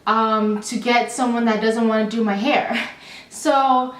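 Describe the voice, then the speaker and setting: A young adult woman telling a storytime vlog in a chatty, animated, rambling way with many fillers. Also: a little high, measured pace. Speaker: vlogger. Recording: roomy, slightly distant mic.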